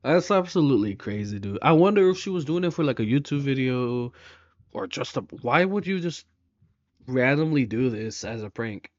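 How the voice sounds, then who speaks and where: A man's voice: medium pitch, animated, moderate pace, no echo. A man giving casual, slangy reaction commentary, incredulous and prone to swearing. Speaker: reaction video host. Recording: dry, slightly dull microphone sound.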